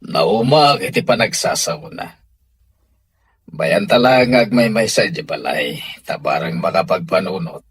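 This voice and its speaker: A man's voice: on the low side, lively intonation, unhurried, a bit bright, husky, exaggerated voice. An actor with a Filipino accent playing a weary but caring middle-aged father in a radio drama.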